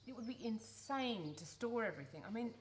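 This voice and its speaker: A woman playing a pushy, organising adult daughter in a live radio play, brisk and persuasive, easily exasperated. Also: on the high side, animated, close to an everyday voice. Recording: noisy live theatre recording.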